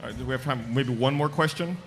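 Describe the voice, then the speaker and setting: A man's voice: mid-range voice, fast. A man speaking casually as a panelist at a live convention session. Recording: loud noise and clicks at live panel.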